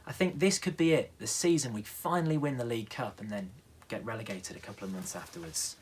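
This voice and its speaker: A man's voice: mid-range voice, fairly quick, slightly rough, close to an everyday voice. A man voicing a proud, encouraging father talking to his young son in a bedtime story. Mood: cheerful.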